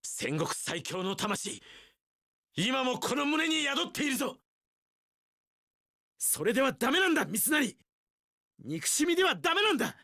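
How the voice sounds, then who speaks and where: A young samurai general from an action game, resolute and sunny. His high, bright voice carries heroic, earnest declarations. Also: sing-song, a little slow, pauses often, dry recording, studio quality, heavily acted. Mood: impassioned. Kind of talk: anime-style action game voice acting.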